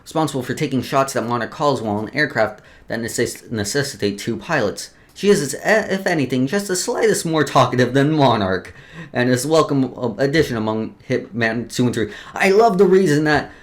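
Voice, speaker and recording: A man's voice: mid-range voice, animated, normal speed. A man hosting a let's play, reading game lore aloud with casual asides and often stumbling over words before restarting.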